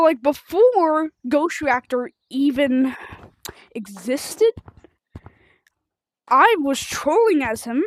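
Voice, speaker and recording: High, animated female game streamer. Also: no room sound. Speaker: livestreamer of a video game. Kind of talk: rambling casual livestream chat while gaming.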